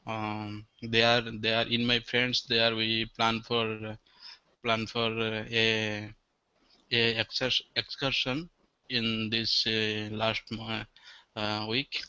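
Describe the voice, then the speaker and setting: A male non-native English learner speaks slowly with a very sharp, forceful tone and little melody. He searches for words as he answers in class. The call audio is lo-fi. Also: fairly low pitch, flat delivery, smooth, dry recording. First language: not English. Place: group video call. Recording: muffled, lo-fi online call.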